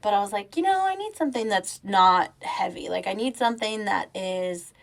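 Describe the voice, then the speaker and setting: Female podcast co-host, casual and teasing, gravelly fry in the voice. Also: medium pitch, animated, moderate pace. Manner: chatty, teasing, laughs often. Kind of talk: casual chat.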